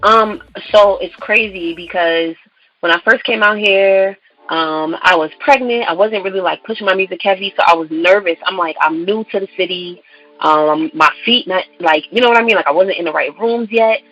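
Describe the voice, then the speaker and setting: Young woman guest from Brooklyn, bright and chatty, lo-fi sound with faint music. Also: mid-range voice, normal speed, dry recording. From Brooklyn, New York. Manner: upbeat, chatty, with "um" and "like" fillers. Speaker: guest artist. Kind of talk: radio interview.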